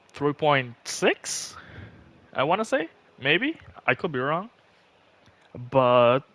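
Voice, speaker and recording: A man's voice: mid-range voice, a bit bright. A man hosting a gaming livestream, chatting casually and thinking aloud in a conversational way. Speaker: live streamer, channel host.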